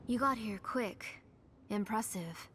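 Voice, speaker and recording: A mother unhinged by grief and set on a dark purpose. She speaks deliberately and dramatically, fragile yet menacing, as a video game villain. Faint noise sits behind the voice. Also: medium pitch. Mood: cold, resolved.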